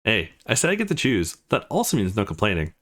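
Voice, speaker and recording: Man reading aloud with very animated pitch. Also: fast talker. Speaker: let's play host. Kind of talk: reading visual novel dialogue aloud.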